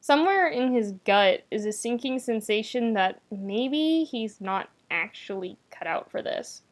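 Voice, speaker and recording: A woman with a fairly high voice narrates a story like an audiobook. Her delivery is wry and conversational, leaning on key words for emphasis. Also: lively intonation, measured pace. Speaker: podfic reader, narrator. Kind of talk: scripted narration of fan fiction, expressive audiobook reading.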